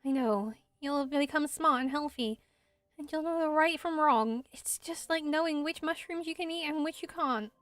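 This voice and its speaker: A woman voicing a gentle, caring young woman from a game, soft and reassuring though weakened. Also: high-pitched, normal speed, no echo, faint music behind, lightly acted. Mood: gentle, earnest. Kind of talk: fan voice-over of game dialogue.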